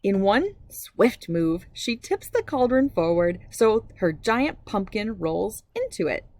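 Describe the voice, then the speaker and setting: A woman's voice: mid-range voice, very animated, slow, darkish tone, no echo. A woman hosting a children's read-aloud, narrating expressively and speaking directly to young listeners. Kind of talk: animated storybook narration for children.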